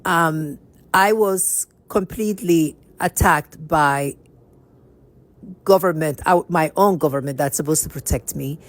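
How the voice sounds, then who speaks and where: A woman's voice: sits low, a little slow. A woman from Somalia giving a personal monologue as a vlogger, speaking emphatically and repeating phrases for stress. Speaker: vlogger telling her own story.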